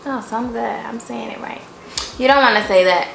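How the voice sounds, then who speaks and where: Curious, playful woman, slightly high. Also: a little fast, gravelly, fairly bright tone, reverberant, slight background noise, poor audio. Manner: curious, playful, asks many questions. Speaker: co-host asking about Jamaican phrases. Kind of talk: casual couple vlog chat.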